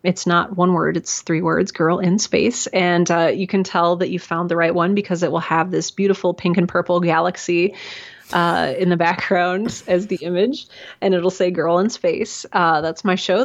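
A woman guest in a casual interview, giving advice in a chatty, animated way with frequent fillers. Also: on the low side, moderate pace, lo-fi sound. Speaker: guest, writer and audio drama creator.

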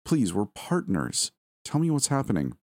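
A man's voice: mid-range voice, wildly swooping pitch, moderate pace, a little mellow, pitched above the performer's own voice. A man voicing a worried, frantic wife pleading with her ailing husband.

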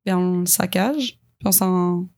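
A young woman with a Quebec French accent, explaining her children's book idea in a casual talk, pausing and restarting often. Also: lowish voice, darkish tone. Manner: hesitant, many fillers and restarts.

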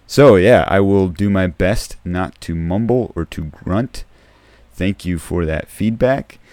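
Soft-spoken man with a dark, low voice. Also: takes it slowly, no echo. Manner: soft-spoken, tends to mumble, with agreeing grunts. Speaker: podcast host. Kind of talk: casual solo podcast talk. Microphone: close podcast microphone.

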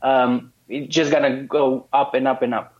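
A man's voice: medium pitch, little pitch movement, moderate pace, a little mellow. A man from Romania, a guest in a casual interview, who talks hesitantly with many fillers and restarts. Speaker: guest, course student. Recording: muffled lo-fi call audio.

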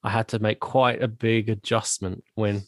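A man in his forties from Zimbabwe, a church elder telling his life story in an interview, talking thoughtfully with pauses and restarts. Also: fairly low pitch, level tone, no room sound. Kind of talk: conversational interview.

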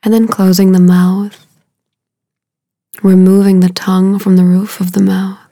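A woman leading a guided meditation in a soothing, unhurried way, with a soft, breathy voice and long pauses between short phrases. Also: medium pitch, flat delivery, no echo, clean studio sound.

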